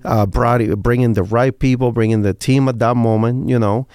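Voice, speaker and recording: A man's voice: mid-range voice, fairly even intonation, normal speed, darkish tone, clean tone, no echo. A man from Cuba with a Cuban Spanish accent, talking earnestly and reflectively as an interview guest. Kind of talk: interview talk.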